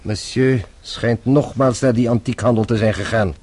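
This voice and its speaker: An actor playing a shrewd French police inspector in a radio drama, formal, measured and politely probing. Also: male voice, medium pitch, steady tone, a little mellow, natural voice. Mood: businesslike.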